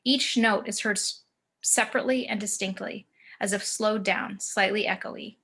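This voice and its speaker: A woman reading screenplay action descriptions as narrator in a table read over a video call, in deliberate short phrases. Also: mid-range voice, fairly even intonation, pushed and bright. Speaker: stage-direction narrator.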